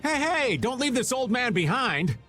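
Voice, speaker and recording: A man's voice: very high voice, very animated, moderate pace, bright, forceful tone, full character voice. An actor playing a playful, sly older man, a joking veteran adventurer in a video game. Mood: playful, teasing. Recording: music under the voice.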